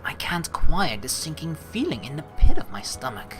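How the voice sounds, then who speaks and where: A man's voice: a little high, normal speed, in a whisper, music in the background. A man doing casual playthrough commentary, reading narration aloud and joking with plenty of swearing, on a close mic. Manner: animated, joking, swears freely. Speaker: let's play streamer.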